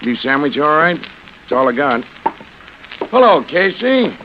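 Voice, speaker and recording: A chatty, friendly diner cook with a high, bouncing voice who talks briskly and warmly to his customers. The sound is muffled old radio with faint hiss, straight out of 1940s radio drama. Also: very animated. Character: cheerful talkative diner cook, Mike.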